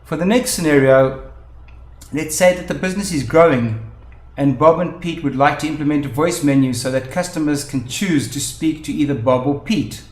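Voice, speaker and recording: A man's voice: on the high side, moderate pace, a little mellow. Man narrating a tutorial in an echoey room. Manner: methodical, step-by-step explaining. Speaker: tutorial presenter. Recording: roomy, echoing voice-over.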